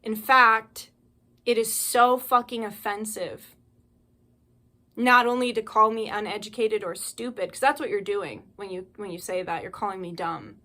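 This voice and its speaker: A woman's voice: mid-range voice, fairly even intonation, measured pace, sharp tone. A young woman delivering a heated, profanity-laced monologue straight to her viewers, ranting and pleading. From United States. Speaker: spiritual channel host. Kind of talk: impassioned monologue to camera.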